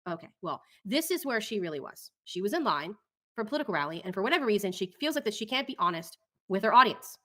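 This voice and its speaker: A woman's voice: mid-range voice, big pitch swings, fast talker, fairly bright tone. A woman hosting casual commentary over clips, sarcastic and skeptical, drawing out her points. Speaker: commentary channel host.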